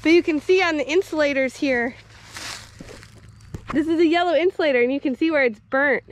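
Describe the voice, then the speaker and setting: A young woman with a high, light voice vlogs outdoors along woods and fields, holding the camera at arm's length. She talks in a chatty, easygoing way and explains what she sees as she walks. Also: fairly quick, a little hiss or hum. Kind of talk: casual vlog narration. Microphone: handheld camera at arm's length.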